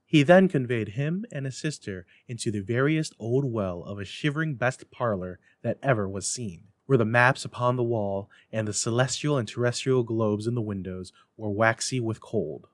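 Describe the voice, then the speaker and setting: A man giving a theatrical, expressive dramatic reading as a storyteller and host. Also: medium pitch, moderate pace, hardly any pauses, dry recording, studio quality. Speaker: library staff storyteller and host. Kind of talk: dramatic scripted narration.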